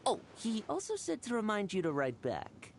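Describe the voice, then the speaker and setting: A woman's voice: medium pitch, huge pitch swings, normal speed, background noise. A woman voicing a cocky, eager young male knight in a video game, brash and breezy. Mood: cheerful.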